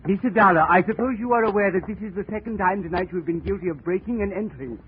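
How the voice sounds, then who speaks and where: Actor as a formal, unhinged older murderer. High, precise, near-hysterical. Vintage radio drama. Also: male voice, fast talker, close to an everyday voice. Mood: smug. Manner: prim, precise diction that breaks into panicked shrieks. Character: deranged older man murderer, fussy and formal. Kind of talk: 1940s radio acting. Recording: tinny old radio broadcast with faint hiss.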